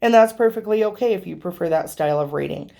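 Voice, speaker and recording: A woman hosting a casual tarot vlog who rambles chattily to her viewers, sounding hoarse and a little nasal from allergies. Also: lowish voice, animated, fast, warm tone. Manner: rambling, chatty, with frequent ums. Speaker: tarot reader and channel host.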